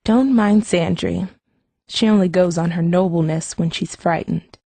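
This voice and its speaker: A woman playing a steady, matter-of-fact teenage girl mage in an audiobook. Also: lowish voice, a little slow, vocal fry, no echo. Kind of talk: full-cast audiobook acting.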